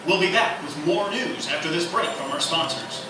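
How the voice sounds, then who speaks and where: Man acting as formal high-pitched male news anchor, echoey. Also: moderate pace, music in the background, lightly acted. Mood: formal, measured. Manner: formal announcer delivery. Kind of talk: scripted newscast parody in live radio theatre. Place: live stage performance in echoey room. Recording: echoey hall, distant mic, noisy.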